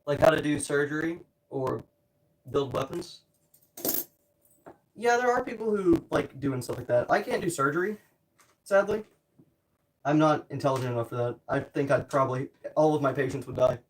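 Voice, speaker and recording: A man's voice: on the high side, moderate pace, long pauses. A man livestreaming a hands-on build, muttering to himself and chatting casually with a wry, self-deprecating manner. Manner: muttering to himself while working. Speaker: streamer building DIY projects. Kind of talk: casual livestream chat while working. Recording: distant mic with background noise, clicking.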